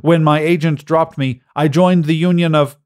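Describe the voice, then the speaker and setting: A man's voice: fairly high pitch, fairly even intonation, moderate pace, voice pushed up, close to an everyday voice. A man voicing an aggrieved film-star robot with the fruity, theatrical manner of a stage actor, in a science fiction audiobook. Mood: rankled.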